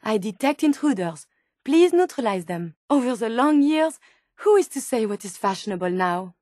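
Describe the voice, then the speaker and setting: High-pitched, earnest French robot scientist. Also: female voice, big pitch swings, moderate pace, darkish tone, no echo, studio quality. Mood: formal, hopeful. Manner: formal, precise wording. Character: earnest, polite female robot scientist, curious and sensitive. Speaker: video game voice actor. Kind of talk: video game character dialogue.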